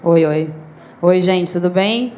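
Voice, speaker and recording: A woman's voice: lowish voice, takes it slowly, darkish tone. A woman with a Carioca accent introducing herself on a conference panel, friendly in manner. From Rio de Janeiro, Brazil.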